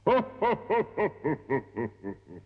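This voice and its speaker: A man playing a huge, mocking giant in a children's cartoon, bellowing slowly with a booming voice. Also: huge pitch swings, slightly rough, full character voice. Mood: mocking, menacing. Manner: slow, bellowing delivery. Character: huge thundering giant, mocking and menacing, laughs loudly. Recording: long cavernous reverb, dull lo-fi audio.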